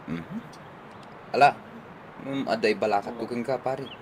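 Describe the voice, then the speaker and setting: A man's voice: medium pitch, unhurried, lightly acted. A man playing a concerned, advising friend in a radio comedy-drama, inquisitive, with an Ilocano accent. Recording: clearly noisy audio.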